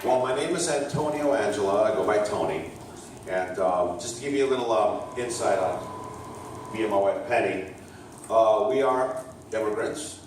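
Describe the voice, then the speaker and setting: A man in his fifties from Portugal talks haltingly to a room full of people, with plenty of ums and restarts. His voice sits fairly low, and it is picked up from a distance in a very echoey hall with some room noise. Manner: halting, many fillers and restarts. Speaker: startup co-founder presenting. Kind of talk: hesitant public talk. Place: community event hall.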